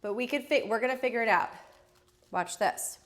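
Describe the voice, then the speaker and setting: A woman hosting a live shopping stream, chatting casually while unboxing, her voice nasal and stuffy from a head cold, joking and teasing herself as she goes. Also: brisk. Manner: chatty, jokey, self-teasing, lively swings in pitch and loudness.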